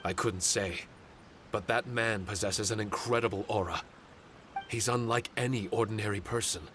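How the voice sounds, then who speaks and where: A man's voice: lowish voice, steady tone, moderate pace, background noise, close to an everyday voice. Man voicing an earnest, formal little paper spirit. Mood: measured. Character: small male paper-cutout spirit, earnest, self-blaming, formal. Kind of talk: video game voice acting.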